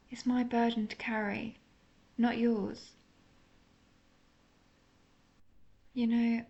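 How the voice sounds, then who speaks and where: A lovesick female vampire voiced by a woman in an intimate audio drama. Her voice sits fairly high yet breaks into heavy creak, and she is hesitant, confessional and softly melancholic. The recording is a little dull and muffled. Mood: guilty, pained. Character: lovesick apologetic female vampire, immortal, intense, vulnerable. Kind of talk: intimate audio roleplay monologue.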